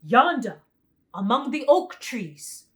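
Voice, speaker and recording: Woman voicing a loud, grave storybook tiger. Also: fairly high pitch, sing-song, takes it slowly, full character voice. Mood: respectful. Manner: loud, emphatic, formal. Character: biggest male tiger, grave, respectful forest beast.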